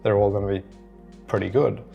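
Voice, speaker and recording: Male vlogger, low gravelly voice, casual unscripted rambling, music underneath. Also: steady tone, fairly quick, highs cut off. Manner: rambling, thinking aloud. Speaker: solo app developer vlogger. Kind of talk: unscripted casual vlog monologue.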